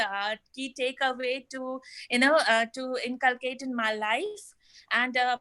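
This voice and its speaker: A woman's voice: high-pitched, bright, forceful tone. A woman on a video call from home giving earnest summary comments on a talk, with many fillers and restarts. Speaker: discussant in an online webinar. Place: home, toddler nearby.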